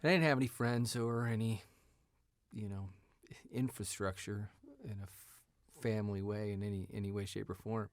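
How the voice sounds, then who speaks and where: A man in his fifties telling stories casually as an interview guest, in a halting, meandering way. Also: fairly low pitch, fairly even intonation, dry recording. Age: 50s. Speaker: writer, actor, interview guest. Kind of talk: casual conversational storytelling. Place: radio studio.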